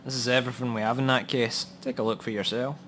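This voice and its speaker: A man's voice: mid-range voice, little pitch movement, quick pace, fairly bright tone, close to an everyday voice. A matter-of-fact policeman played by a man in a noir crime radio play. He is dry and wry about grim business, with some noise and ambience behind his voice. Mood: guarded, matter-of-fact. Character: matter-of-fact, grimly wry male police records contact. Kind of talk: noir radio drama dialogue. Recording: audible background noise and ambience.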